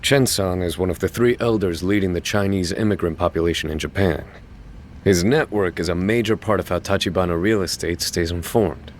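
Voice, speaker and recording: An actor playing a wry, casual fixer and guide in a video game dub, with a glib, teasing way of talking. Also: male voice, deep voice, fairly quick, a little hiss or hum, close to an everyday voice. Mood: deferential. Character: breezy, wry male real-estate fixer and local guide.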